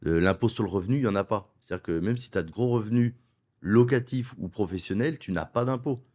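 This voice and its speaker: A middle-aged man from France speaks with a somewhat low voice. He argues his points persuasively in a studio interview, stacking them in quick runs. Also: darkish tone. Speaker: wealth advisor and property investment guest. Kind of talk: conversational interview.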